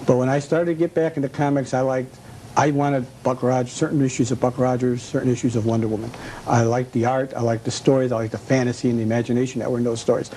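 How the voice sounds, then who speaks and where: Mellow-voiced man being interviewed. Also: medium pitch, flat delivery, quick pace. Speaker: comic shop collector, interview guest. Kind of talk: casual interview answers. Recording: faint ambient background noise.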